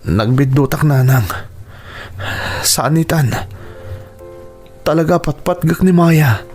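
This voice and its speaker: A man's voice: fairly high pitch, slow pace, a little mellow, faint music behind. An actor playing a remorseful grown son longing for his estranged wife, pleading earnestly in Ilocano-accented radio drama style.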